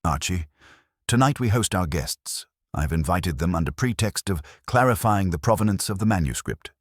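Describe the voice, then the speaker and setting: An imperious, coolly logical detective, acted by a male narrator in an audiobook. He speaks in a very deep, husky voice, with formal, pompous precision, recorded dry. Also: expressive, moderate pace, no echo. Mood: commanding.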